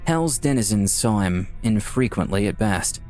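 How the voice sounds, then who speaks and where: A man's voice: lowish voice, animated, normal speed, darkish tone, natural voice. An actor playing a wry, self-deprecating dead young artist who narrates his afterlife in a casual storytelling way for a visual novel. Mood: dry, bored. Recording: music playing behind the voice.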